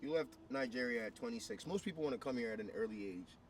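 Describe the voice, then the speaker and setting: A man from Ghana runs a loose, loud interview, cursing freely and jumping in with questions. His voice sits in a middle range and sounds a bit far from the mic, with some noise behind him. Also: medium pitch, quick pace. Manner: loud, excitable, profane, keeps interrupting. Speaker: vlog interviewer. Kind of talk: casual street interview. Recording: distant mic with background noise.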